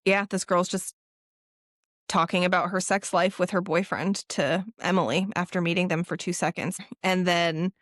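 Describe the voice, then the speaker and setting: Young female co-host, lively casual storyteller, dry close-miked sound. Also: fairly low pitch, normal speed, no echo. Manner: animated storytelling with laughs and acted quotes. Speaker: podcast co-host. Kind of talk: casual chat.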